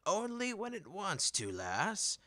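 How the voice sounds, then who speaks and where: A man's voice: high voice, huge pitch swings, slow, bright, forceful tone, dry recording, pitched above the performer's own voice, heavily acted. A man voicing a whiskered, balding merchant, a brash wheedling huckster with folksy showman patter, in an audiobook. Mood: showy, wheedling. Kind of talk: audiobook character voice.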